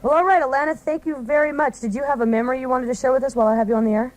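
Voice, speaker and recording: American woman hosting radio, higher-pitched voice. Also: steady tone, very fast, a little mellow, low-quality recording. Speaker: radio host. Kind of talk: live call-in morning show banter. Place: radio studio.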